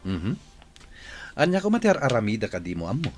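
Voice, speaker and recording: A Filipino man in a radio drama playing a ruthless, short-tempered rich boss with a domineering, threatening manner and a husky voice. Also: lowish voice, huge pitch swings, fairly quick, a little hiss or hum. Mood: curt, dismissive. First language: Ilocano. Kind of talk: Ilocano radio drama acting.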